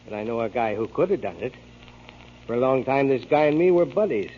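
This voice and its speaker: An actor playing a sly, menacing crook who needles and insinuates in 1940s radio drama style. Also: male voice, medium pitch, lively intonation, a little fast, lightly acted. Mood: sly, threatening. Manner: needling, insinuating tough talk. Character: menacing, sly dockside crook, a blackmailing man. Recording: muffled, noisy 1940s radio broadcast.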